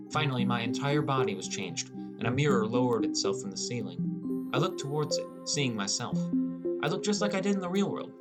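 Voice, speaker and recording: A man narrates a fantasy audiobook in the first person as a young gamer. His voice sits at a medium pitch. Music plays strongly underneath his reading. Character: teenage boy gamer, gloomy and introspective. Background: prominent music.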